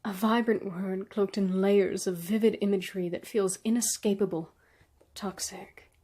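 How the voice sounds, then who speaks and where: A woman performing a slam poetry reading, earnest and dramatic, with deliberate pauses between phrases. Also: mid-range voice, fairly even intonation, dark tone. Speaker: slam poet.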